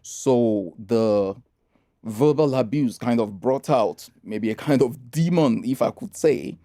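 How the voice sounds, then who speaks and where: A man's voice: mid-range voice, expressive, takes it slowly, dark tone, dry recording, studio quality. A man hosting an interview, asking probing questions and reacting with short remarks. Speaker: interviewer, host.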